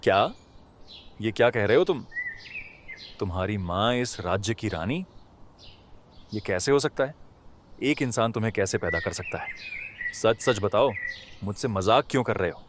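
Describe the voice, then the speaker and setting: A man's voice: on the low side, animated, normal speed, pauses often, background noise, close to an everyday voice. A man voicing a kind-hearted, emotional poor villager in a Hindi cartoon, speaking in a dramatic, pleading way. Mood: astonished, eager. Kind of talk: Hindi animated moral story dialogue.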